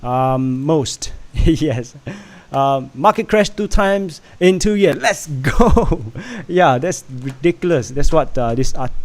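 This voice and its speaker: A man hosts a casual livestream from home, talking through the news and answering chat. His voice sits a bit higher than most men's, and he rambles with lots of "uh"s, restarts and repeated words. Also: lively intonation, darkish tone. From Singapore. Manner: rambling, halting, many fillers and restarts. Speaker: livestream host reading chat. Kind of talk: casual live stream chat.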